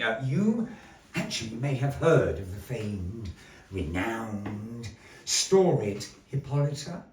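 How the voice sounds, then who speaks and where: Theatrical showman compering a live puppet show. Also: fairly low pitch. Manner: flamboyant showman, mock-dramatic. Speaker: master of ceremonies at a puppet show. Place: indoor gallery venue. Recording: roomy, distant, faint background noise.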